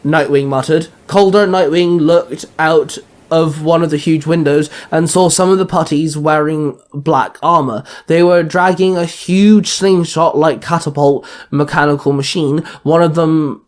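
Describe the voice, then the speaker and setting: A man reading out scripted action fiction as a narrator, now and then stumbling over a word and correcting himself. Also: on the high side. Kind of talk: scripted story narration with acted dialogue.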